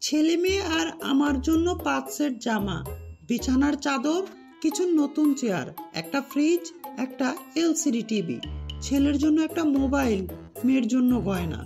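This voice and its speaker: A demanding cartoon housewife, acted by a woman, speaking to her husband in a comic skit. Her voice is clearly high, with light music underneath. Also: animated, moderate pace, a little mellow.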